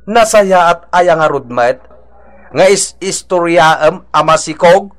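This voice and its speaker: A man's voice: on the high side, slow. An actor playing an exasperated husband in a radio drama, scolding his gossiping wife emphatically. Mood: reproachful. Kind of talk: Ilocano radio drama acting.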